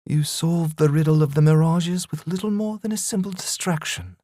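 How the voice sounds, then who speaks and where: A man voicing a cold, horned female demon in a story reading, with a breathy, hushed, menacing manner. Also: medium pitch, huge pitch swings, slow pace, dry recording, studio quality, full character voice. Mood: bitter, defeated. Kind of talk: audiobook reading.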